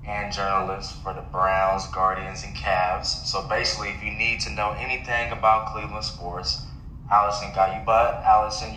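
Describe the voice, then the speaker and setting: In a roomy, echoing recording with faint noise, a man with a lower-set, bright and forceful voice hosts an interview. He puts casual questions to his guest in a conversational style. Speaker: podcast host and interviewer. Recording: very echoey room with faint noise.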